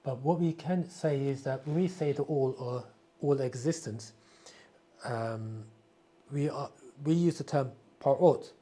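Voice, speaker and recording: Husky guy calmly explaining stuff on a live q&a, repeats himself a lot. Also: medium pitch, a little slow. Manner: explains patiently, repeats phrases and chants. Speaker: spiritual teacher answering questions. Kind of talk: live question and answer session.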